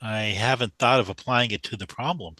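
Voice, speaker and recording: A man's voice: medium pitch, fairly quick, bright, forceful tone, no echo. A man playing a young, deferential, bewildered mathematician in a radio drama, hesitant and polite. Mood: cautious.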